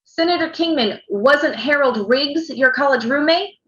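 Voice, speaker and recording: A woman's voice: sits high, unhurried, fairly bright tone. A woman playing a relentless news reporter in a script read, putting pointed, accusatory questions, in a roomy, slightly dull recording. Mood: pointed, accusing. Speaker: actor in a virtual table read. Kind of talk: screenplay table-read acting.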